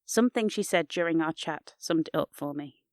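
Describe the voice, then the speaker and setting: A woman's voice: sits low, fairly quick, dry recording, clean studio sound. A woman hosting a podcast, narrating in a reflective, scripted style. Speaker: podcast host.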